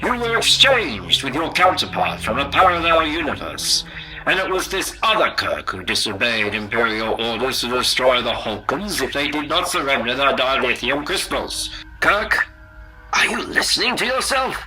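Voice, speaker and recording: An actor playing a stern, disbelieving admiral in an audio drama, speaking with forceful authority. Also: male voice, mid-range voice, sing-song, normal speed, soft background music, low-quality recording. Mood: incredulous, accusing. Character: stern, disbelieving male admiral of a ruthless space empire.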